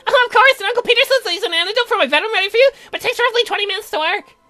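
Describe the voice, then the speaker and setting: A ghoulish, man-eating young lady cousin acted out by a man from a horror game. The voice is extremely high and bright, rattling along quickly with gleeful, theatrical eagerness. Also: soft background music. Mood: prim, helpful.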